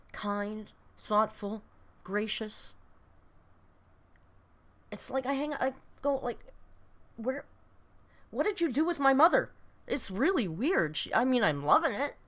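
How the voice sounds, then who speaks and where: A woman in her late fifties hosting a casual live stream, chatting with viewers in a rambling, self-deprecating, blunt way with mild swearing. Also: a little high. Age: late 50s. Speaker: host of a reaction live stream.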